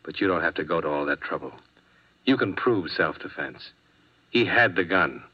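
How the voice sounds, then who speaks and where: An American actor playing a weary, wry insurance investigator in a 1950s radio drama, speaking in a terse, dry way. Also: male voice, low voice, animated, normal speed, husky. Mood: gentle, steady. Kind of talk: 1950s radio drama acting with first-person narration. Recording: dull vintage 1950s radio recording.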